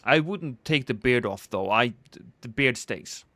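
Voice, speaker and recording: Playful Norwegian man with a lively pitch. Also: unhurried, a little hiss or hum. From Norway. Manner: playful, joking banter with chat; reacts loudly to in-game action. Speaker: streamer. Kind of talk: casual live gameplay stream chat.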